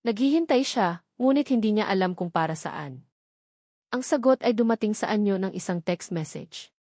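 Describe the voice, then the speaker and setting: A woman with a Filipino accent narrating a scripted romantic audio drama in a measured, dramatic storytelling manner with deliberate pauses. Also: mid-range voice, expressive, darkish tone, no room sound, clean studio sound.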